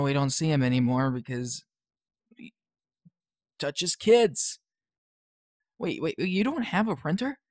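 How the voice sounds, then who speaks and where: A woman plays a scheming teenage boy in an audio drama. The delivery is sarcastic and exasperated, unhurried but with big pitch swings, in a dry, close recording. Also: no room sound, heavily acted.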